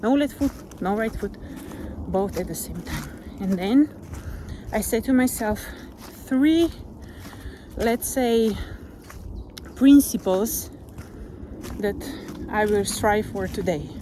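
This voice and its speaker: A woman with a Slovenian accent, speaking English as a second language in a gentle, reflective motivational vlog while walking outdoors, sometimes searching for words. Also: mid-range voice, lively intonation, slow, background noise. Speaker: vlogger. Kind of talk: reflective motivational monologue to camera. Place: outdoors on a nature walk. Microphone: camera held while walking.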